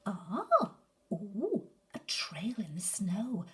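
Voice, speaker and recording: A woman voicing a bold, curious young female gruffalo child with high, excited exclamations in a storybook reading. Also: very slow, heavily acted.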